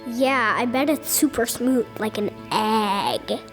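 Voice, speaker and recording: High-pitched, cheeky little ape. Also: female voice, lively intonation, slow, music in the background. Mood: cheeky, curious. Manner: childlike, blunt. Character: little young ape, curious, loyal and cheeky. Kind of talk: animated storybook character voice.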